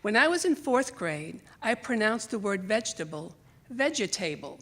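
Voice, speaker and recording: A woman's voice: medium pitch, normal speed. An older woman who grew up speaking another language reads her own scripted personal essay aloud to a live audience, expressively, as a storyteller. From Greece, emigrated to the United States as a child. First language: Greek or Italian. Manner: expressive, acts out remembered dialogue. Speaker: writer reading own memoir essay. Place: library theater stage, live event.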